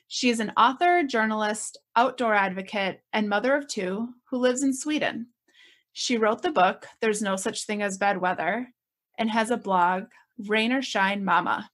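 A woman hosting a podcast, introducing and interviewing a guest. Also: fairly high pitch.

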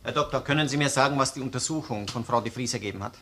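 An actor playing a brisk, direct police investigator putting probing questions in a crime drama. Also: male voice, mid-range voice, pushed and bright.

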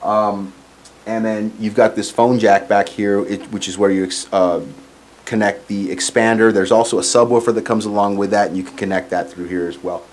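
Male, 40s, lowish voice, informal musician reviewing live sound gear, clear background noise on the recording. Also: steady tone, moderate pace. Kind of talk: casual product demo. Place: small curtained home studio, indoors. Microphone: microphone on boom stand into PA, plus some room sound.

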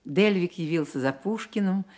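A woman narrating a scripted literary radio play with measured, expressive storytelling. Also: fairly low pitch.

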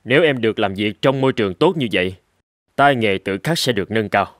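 A man's voice: on the low side, expressive, brisk, dry recording, clean studio sound, close to an everyday voice. A man voicing a stern, practical middle-aged surgeon in a cartoon. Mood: persuasive. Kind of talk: cartoon dubbing.

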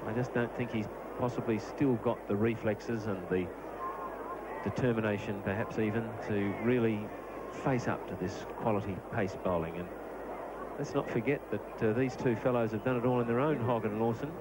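A man's voice: on the low side, fairly even intonation, normal speed. A man explaining cricket replays in a conversational, opinionated way as a summariser. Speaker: cricket commentator, expert summariser. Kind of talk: replay analysis commentary. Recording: noisy old broadcast tape.

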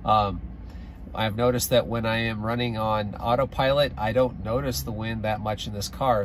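A man's voice: on the low side, fairly bright tone, a little hiss or hum. A man in his forties chatting to a phone camera from inside his parked car, loose and casual with plenty of ums and uhs. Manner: chatty, plain-spoken, many ums and uhs. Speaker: road trip vlogger. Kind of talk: casual vlog talking to camera. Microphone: phone camera at arm's length.

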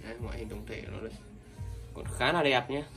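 Male seller, casual repetitive pitch, off-mic with background music. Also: fast talker, a bit bright. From Vietnam. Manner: repetitive, many fillers, addresses buyers as brothers. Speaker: fishing tackle seller. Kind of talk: casual sales livestream. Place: indoors, table of fishing reels. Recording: distant mic, music playing behind.

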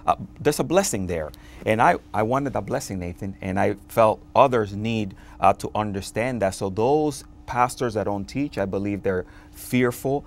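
A pastor from the Dominican Republic talks with quiet conviction, his voice sitting low and steady. He speaks earnestly, in a conversational testimony style, with frequent "uh" pauses. The lapel mic in a television studio keeps his voice close and clean. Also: gravelly. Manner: earnest, fervent, with frequent filler "uh". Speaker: pastor, interview guest.

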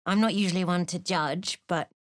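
A woman's voice: fairly low pitch, moderate pace, lightly acted. A woman playing a wry, irreverent adventurer in a fantasy game, with a dry, sardonic manner. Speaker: game companion character. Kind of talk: scripted fantasy game dialogue.

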